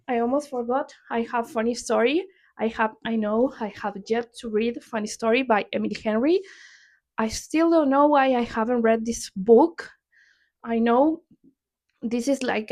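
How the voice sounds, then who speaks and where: A young woman from Argentina speaks English with an Argentine accent, her first language being Spanish. Her voice sits a touch high, and she talks at a slow, easygoing pace in casual chat. She often trips over a word and starts the sentence again. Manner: unhurried, chatty, restarts and corrects herself often. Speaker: book vlogger.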